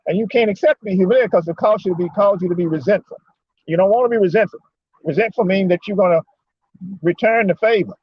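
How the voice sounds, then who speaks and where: A man's voice: high voice, fast, breathy edge, no echo. A man gives an informal religious talk full of personal storytelling, speaking in a folksy, conversational way and repeating phrases for emphasis. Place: parked in a lot, talking remotely. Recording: dull lo-fi audio.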